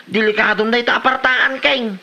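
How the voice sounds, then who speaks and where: A man's voice: very high voice, fairly even intonation, a little fast, vocal fry, bright, forceful tone, muffled recording, full character voice. A man playing a mischievous prankster husband in a rural comedy drama, with an Ilocano accent and a teasing, exclamatory delivery. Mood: mock-serious teasing.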